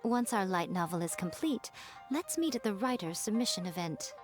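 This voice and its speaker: A woman's voice: mid-range voice, very animated, normal speed, soft background music, close to an everyday voice. A woman voicing a poised, self-assured, slyly playful lady publisher in a video game. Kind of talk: video game character acting.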